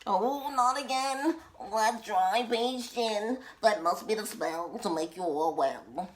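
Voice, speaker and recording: A woman voicing a flustered little old lady witch from a children's picture book, in a deliberate, theatrical rhyme. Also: mid-range voice, natural voice. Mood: dismayed, determined.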